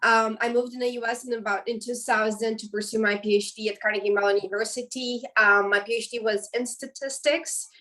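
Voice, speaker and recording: A woman's voice: fairly high pitch, steady tone, a little fast, hardly any pauses, fairly bright tone. Hesitant Romanian-accented woman, distant mic. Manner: hesitant, many ums and restarts. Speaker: professor and guest. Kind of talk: webinar interview answer.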